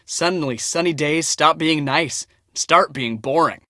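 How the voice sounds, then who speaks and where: Eager, bright-voiced young storm chaser. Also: male voice, a little high, a little slow, no room sound, studio quality. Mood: enthusiastic. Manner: enthusiastic, casual, confiding. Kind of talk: casual scripted game dialogue.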